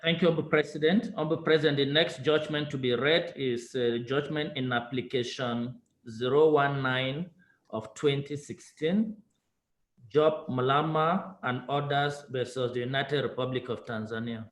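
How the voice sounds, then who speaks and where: A man's voice: mid-range voice, steady tone, moderate pace. A man serving as court registrar, making a formal, deferential announcement. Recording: echoey room sound.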